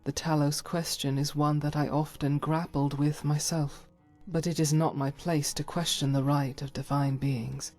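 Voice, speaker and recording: A woman voicing a devout, principled warrior companion in a fantasy game, formal, measured and earnest. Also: low-pitched, little pitch movement, darkish tone, soft background music. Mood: solemn. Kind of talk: scripted fantasy game dialogue.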